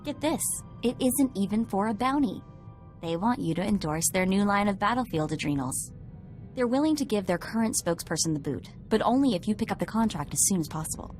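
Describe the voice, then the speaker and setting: Woman playing young savvy game sidekick girl, mid pitch, upbeat n chatty, music underneath. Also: animated, brisk, darkish tone, close to an everyday voice. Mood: excited. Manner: upbeat, casual, eager. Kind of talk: video game cutscene acting. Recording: music behind the voice.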